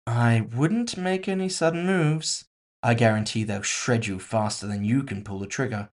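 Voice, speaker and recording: A man's voice: medium pitch, normal speed, lightly acted. A man voicing a composed, villainous woman executive in audio fiction, casually polite, mocking and condescending. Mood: smug, controlled. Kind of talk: audio fiction character dialogue.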